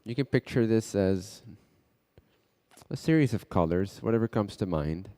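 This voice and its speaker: A man leading a guided meditation in a measured, halting way, speaking in short phrases with pauses between them. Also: fairly low pitch, lively intonation, mellow. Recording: long hall-like reverb.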